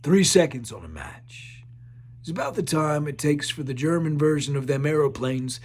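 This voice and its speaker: A man's voice: fairly high pitch, animated, moderate pace. A man voicing Sergeant Lee, a grim army sergeant warning young soldiers.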